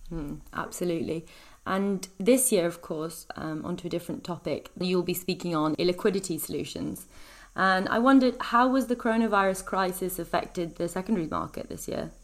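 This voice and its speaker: A woman's voice: lowish voice, fairly quick. A woman hosting a business podcast, putting questions to a guest in a conversational way. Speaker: podcast host, interviewer.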